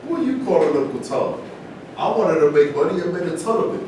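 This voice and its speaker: In a very echoey, noisy room, a man plays a tough, self-made male boxing champ in a stage reading. He is blunt and combative, with lively swings in pitch. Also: a little fast, close to an everyday voice. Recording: echoey room, distant mic, noisy rumble.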